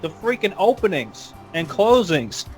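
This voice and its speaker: Guy ranting on a fan podcast, voice a bit high, light music behind. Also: sing-song, unhurried. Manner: opinionated, ranting. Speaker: podcast host. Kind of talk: casual fan podcast chat.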